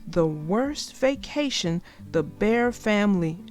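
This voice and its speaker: A woman reading a children's story aloud with expressive, dramatic phrasing, speaking directly to listeners. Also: mid-range voice, takes it slowly, faint music behind.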